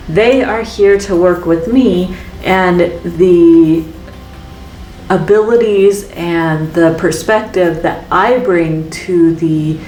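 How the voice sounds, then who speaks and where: A woman's voice: on the low side, darkish tone, distant mic, slight background noise. Chatty woman painter in echoey studio. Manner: chatty, reflective, rambling with fillers and tag questions. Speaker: artist and channel host. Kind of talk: casual painting vlog talk. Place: art studio, painting while talking. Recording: echoey room, voice off-mic.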